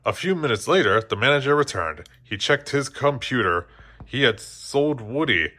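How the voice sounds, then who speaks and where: A man's voice: medium pitch, very animated, normal speed, sharp tone. A man reading a children's story aloud as scripted narration, now and then stumbling over a word. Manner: occasionally stumbles over words and rereads. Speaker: storybook reader.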